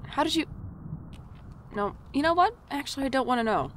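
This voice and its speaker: A woman's voice: high-pitched, animated, fairly quick, slight background noise. A woman playing a wry, jumpy night-shift shop worker who narrates an audio drama in a dry, sardonic way. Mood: flustered. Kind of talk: audio drama acting with first-person noir narration. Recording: music bed behind the voice.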